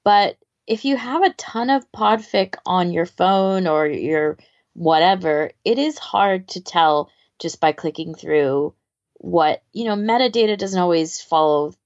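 A woman's voice: lowish voice, a little slow, darkish tone. A woman co-hosting a podcast in a casual, conversational way, on slightly lo-fi audio. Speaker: podcast co-host. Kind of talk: casual chat.

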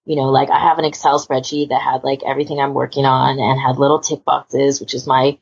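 A woman with a low voice and plenty of fry hosts an informal chat. She rambles along in a self-deprecating way. The recording is a bit muffled and lo-fi. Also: level tone, fairly quick. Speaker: podcast host. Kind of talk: casual panel chat.

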